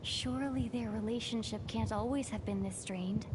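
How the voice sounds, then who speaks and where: A composed young woman in a video game, voiced by an actress, muses and questions what she observes. Her voice is fairly high, with clear ambient noise behind it. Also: normal speed, darkish tone, natural voice. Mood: thoughtful, doubtful.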